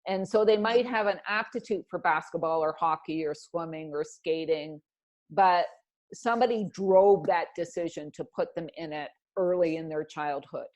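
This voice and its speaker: A woman in her fifties with a slightly low voice answers as an interview guest. She talks conversationally and reflectively, setting out her points in earnest, emphatic phrases. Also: moderate pace, a little mellow. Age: 50s.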